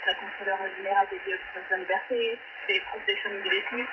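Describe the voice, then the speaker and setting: Halting woman guest on very noisy shortwave. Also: mid-range voice. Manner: halting, searching for words. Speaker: interview guest, prison advocacy coordinator. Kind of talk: spontaneous interview answers. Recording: noisy muffled shortwave radio reception. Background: strong radio static.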